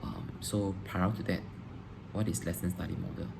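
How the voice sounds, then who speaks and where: A man, a teacher answering interview questions on video, pausing often with ums and restarting phrases. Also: low voice, fairly even intonation, vocal fry. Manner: hesitant, frequent ums and restarts. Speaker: teacher applying for a job. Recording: noisy background hiss.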